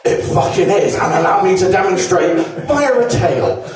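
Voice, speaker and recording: Man in his thirties, lively swooping delivery, stand-up set, echoey club recorded from a distance, laughing crowd. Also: sits high, moderate pace. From Devon, England. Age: 30s. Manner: self-mocking, animated, swearing, stammering restarts. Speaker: stand-up comedian. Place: comedy club stage with live audience.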